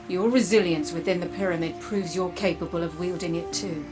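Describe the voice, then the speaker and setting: A woman as a lowish-voiced mysterious android mentor. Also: fairly even intonation, music in the background, lightly acted. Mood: grave, persuasive. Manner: measured, earnest, portentous. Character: mysterious female android warrior, calm, grave mentor. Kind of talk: video game cutscene acting.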